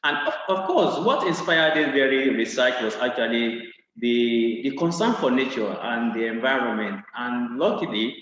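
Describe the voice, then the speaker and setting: A man introducing his research on a video call, earnest and halting with frequent pauses. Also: medium pitch, animated, fairly bright tone, slight background noise. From Nigeria. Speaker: panelist, research scientist. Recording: echoey, dull video-call audio.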